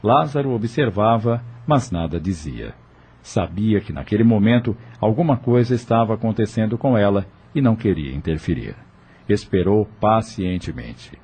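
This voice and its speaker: A man's voice: on the low side, lively intonation, moderate pace, warm tone, breathy edge. A man narrating an audio novel from a script in a storytelling style.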